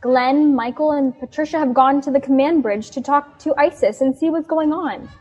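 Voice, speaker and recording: A woman's voice: high-pitched, moderate pace, darkish tone, highs cut off, natural voice. A young woman playing a sensible, earnest older teenager in a sci-fi radio play, no-nonsense. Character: sensible older teenager keeping the group calm.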